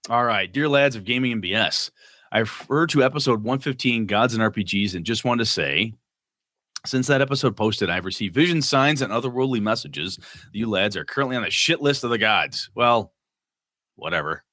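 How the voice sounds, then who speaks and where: Bright-voiced man reading listener mail. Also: medium pitch, expressive, brisk, no room sound, clean studio sound. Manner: reads letters aloud, jokey asides. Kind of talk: casual gaming podcast chat.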